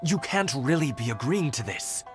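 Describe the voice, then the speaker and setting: A man's voice: mid-range voice, very animated, normal speed, over music, natural voice. A man playing a doubtful but deferential guard in a video game, speaking formally and hesitantly. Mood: wary, doubtful. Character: wary male guard, deferential yet doubtful retainer.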